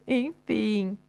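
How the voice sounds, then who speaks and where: A woman's voice: high voice, creaky, husky. A woman giving a chatty, live-streamed motivational talk, addressing the audience directly and laughing often.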